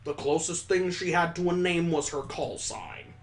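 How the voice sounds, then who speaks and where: A man voicing a courteous, formal elderly elf mentor in a visual novel read-aloud, speaking in a stately, deliberate way. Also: a little high, fairly even intonation, soft background music, lightly acted. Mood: solemn.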